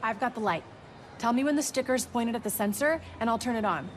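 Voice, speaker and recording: A woman's voice: fairly high pitch, a little fast, a little hiss or hum, lightly acted. An actress playing a clever, teasing teenage girl in a video game, speaking in a casual, wry, playful way. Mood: focused. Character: bright, teasing teenage girl science whiz. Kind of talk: naturalistic video game acting.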